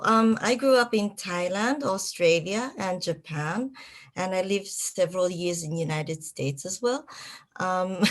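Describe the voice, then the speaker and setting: A woman from the private sector answering as a panelist in an online discussion, pausing thoughtfully with fillers. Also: lowish voice.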